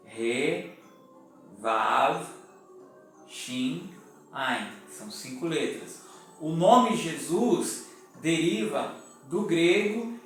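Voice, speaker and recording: Slow high-voiced Brazilian preacher, echoey. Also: male voice. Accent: Brazilian Portuguese. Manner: deliberate, spells out letters one by one. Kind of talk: sermon teaching. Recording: echoey room, mic at a distance, faint music.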